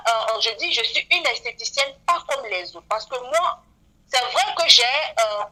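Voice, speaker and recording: Earnest woman, 40s, bright higher voice, radio guest who leans on fillers. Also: poor audio. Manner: earnest, frequent "voilà" fillers. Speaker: beautician guest.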